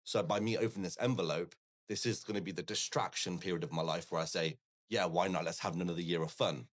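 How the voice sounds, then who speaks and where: A man's voice: sits low, steady tone, quick pace. A man from Coventry with an English Midlands accent and Punjabi as his first language, telling personal stories as a talkative podcast guest. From Coventry, England. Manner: talkative, anecdotal, often quoting imagined dialogue. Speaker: podcast guest, forex trader and educator.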